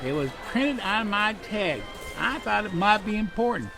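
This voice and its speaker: High-pitched naive teddy bear. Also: male voice, lively intonation, moderate pace, creaky, over music. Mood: defensive, innocent. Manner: innocent, hesitant, easily sidetracked. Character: naive, cute, slightly dim male teddy bear. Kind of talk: comic audio drama acting.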